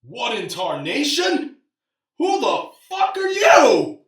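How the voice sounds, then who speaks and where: A man's voice: very high voice, wildly swooping pitch, slow pace, fairly bright tone, distant mic, exaggerated voice. A man playing an anguished, startled fellow in an amateur radio drama. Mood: shocked. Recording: echoey room, off the mic.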